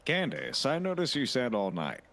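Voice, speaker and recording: A man playing a very tall, boastful detective and sausage artist in a comedic audio drama, speaking formally and deadpan. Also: medium pitch, normal speed. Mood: probing, firm.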